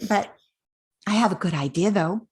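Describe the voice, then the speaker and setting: Radio play: patient, affectionate daughter, measured; older woman performing, husky middle-range voice. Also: mid-range voice. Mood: patient, reassuring. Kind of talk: amateur radio play acting.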